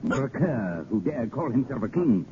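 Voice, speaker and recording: A man's voice: mid-range voice, sing-song, normal speed, lightly acted. An actor playing a stern, imperious king with a haughty, clipped, cold manner in a 1930s radio drama. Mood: cold contempt. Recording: noisy, muffled 1930s radio recording.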